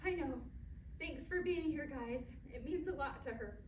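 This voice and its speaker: A high-pitched female voice from an actress plays an earnest, emotional daughter in a staged drama. She sounds hurt but caring, heard from across an echoey room with loud noise over the recording. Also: normal speed, recorded from a distance, natural voice. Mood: concerned, coaxing. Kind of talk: staged play reading. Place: live indoor staged reading, far from mic.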